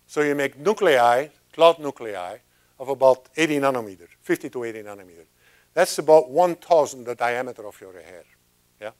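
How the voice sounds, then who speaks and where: A man's voice: mid-range voice, animated, normal speed. An older man at a podium, giving a chatty science talk with frequent restarts and asides. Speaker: guest speaker. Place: lecture room at a podium. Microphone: lapel mic.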